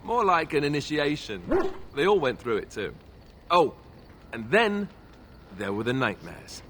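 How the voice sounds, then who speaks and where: An actor playing a wry, boyish, self-deprecating young warrior in a video game, speaking in a conversational, sardonic way. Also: male voice, medium pitch, big pitch swings, measured pace, fairly bright tone, a little hiss or hum. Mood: sombre. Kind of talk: video game character dialogue.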